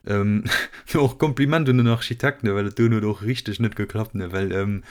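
A man's voice: fairly low pitch, normal speed. A man with a Luxembourgish accent chatting casually as a podcast co-host, rambling with many ums and frequent laughs. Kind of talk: casual chat.

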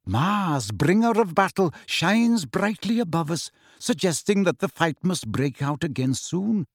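A man acts out a proud, solemn centaur teacher from a storybook. His pitch sits high for a male voice and moves widely, while the delivery stays measured, formal and aloof. The sound is close and dry. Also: very animated, breathy edge, no echo, exaggerated voice. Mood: grave, prophetic. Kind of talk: acted audiobook dialogue.

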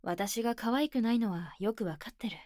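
A woman playing an earnest, shy, tomboyish senior high school girl in an ASMR voice drama, speaking plainly and hesitantly, often near a whisper, close on a binaural mic. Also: brisk, darkish tone, no echo, lightly acted. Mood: self-deprecating, gentle. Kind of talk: Japanese ASMR situation voice drama. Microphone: close binaural microphone, dry studio.

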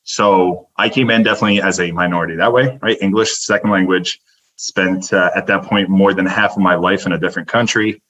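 A young man from Turkey, a native Turkish speaker, speaks in a very low voice. He tells his story in a detailed, earnest way, as a dietitian guest on a podcast. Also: fairly even intonation, fairly quick, fairly bright tone. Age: young adult. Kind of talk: podcast interview.

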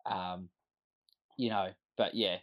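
A man's voice: deep voice, steady tone, slow, muffled recording. A man in his thirties with an Australian accent, a podcast guest telling his life story in an earnest, reflective way. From Western Sydney, Australia. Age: 30s. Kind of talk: candid personal storytelling.

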